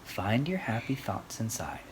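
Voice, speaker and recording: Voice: medium pitch, very animated, a little slow, rough recording. A storyteller voicing a wise bunny mother in a children's storybook, soothing and encouraging. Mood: gentle, encouraging. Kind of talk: children's storybook read aloud. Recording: faint background noise.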